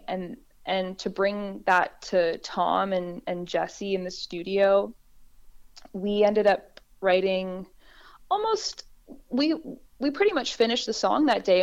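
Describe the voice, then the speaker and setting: A woman singer-songwriter with a low voice, talking through how she wrote a song in a relaxed, reflective way with frequent restarts. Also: unhurried, clean tone, muffled recording. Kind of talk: conversational interview.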